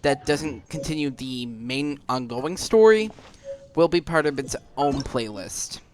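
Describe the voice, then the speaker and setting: A man giving casual gameplay commentary, thinking aloud in an offhand, halting way. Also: mid-range voice, expressive, slight background noise. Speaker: gaming video host.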